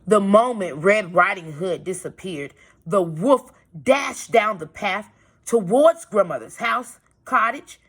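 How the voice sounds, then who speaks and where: A woman reading a storybook aloud to young children as a teacher, pausing to explain things in simple phrases. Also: mid-range voice, animated, fairly bright tone, breathy edge. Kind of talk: expressive storybook read-aloud with asides.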